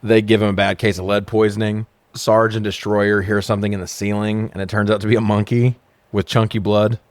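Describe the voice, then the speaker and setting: A man's voice: fairly low pitch, level tone, brisk, no room sound. A man co-hosting a casual movie-review show, recapping plots in a sweary, irreverent, joking way. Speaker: podcast co-host.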